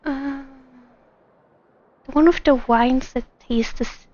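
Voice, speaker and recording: Girl streamer chatting, high voice, slow and hesitant, muffled mic, soft music behind. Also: fairly even intonation, dry recording. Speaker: game streamer. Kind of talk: casual livestream chat over gameplay. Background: faint game music.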